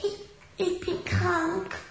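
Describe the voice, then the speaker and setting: A frail vampire girl pleads in a very high, slow voice. She sounds ill and plaintive, hesitating over her words as in a children's radio play. Her voice rings in heavy echo. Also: level tone, creaky, heavily acted. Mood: weak, plaintive. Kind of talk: German radio play acting. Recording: very echoey crypt effect, faint noise.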